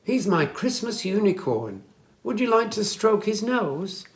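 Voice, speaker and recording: A storyteller voicing an excitable, affectionate little girl in a children's storybook, with eager, emphatic exclamations. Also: medium pitch, unhurried, voice pushed up. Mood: friendly, eager. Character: excitable, affectionate little girl who adores her unicorn.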